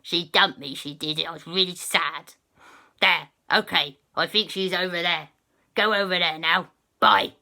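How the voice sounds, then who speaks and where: Woman doing a slow, loud grumpy captain. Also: fairly low pitch, fairly even intonation, creaky, exaggerated voice. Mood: gruff. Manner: slow, loud, old-fashioned talk. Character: gruff grumbling male pirate-style captain, wary.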